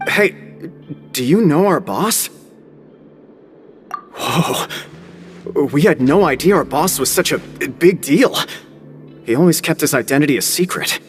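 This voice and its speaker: An actor playing a guarded, plain-spoken prison gang member in a video game. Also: male voice, a little high, expressive, a little slow, pauses often, faint music behind. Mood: surprised. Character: guarded male prison gang member, tough, admiring follower.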